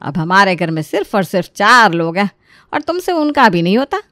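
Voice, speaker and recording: A woman's voice: mid-range voice, sing-song, fairly quick, a bit bright, dry recording, studio quality, voice pushed down. A woman voicing a bossy, sarcastic older mother-in-law who scolds and nags in a dramatised story. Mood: grumbling, scolding.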